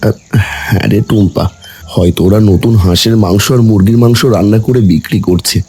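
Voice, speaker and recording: A man voicing a calm, fatherly village dad in a cartoon, hesitating with soft "a" sounds. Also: fairly low pitch, a little fast, background noise, natural voice. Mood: soothing. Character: gentle, tired village father. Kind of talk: Bengali cartoon voice acting.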